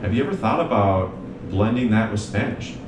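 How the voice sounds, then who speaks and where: A young man speaks in an earnest, measured way, recounting his path as a guest. His slightly low voice sits back from the mic in a roomy, echoing space. A steady rumble hums beneath. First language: English. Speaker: guest, mental health provider. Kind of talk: reflective interview talk. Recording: echoey room, distant mic, noisy rumble.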